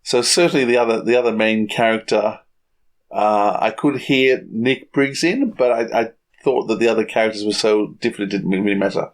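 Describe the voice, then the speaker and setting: A man co-hosting a podcast, chatting casually and haltingly as he searches for his words. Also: on the low side. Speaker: podcast co-host. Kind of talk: casual review chat.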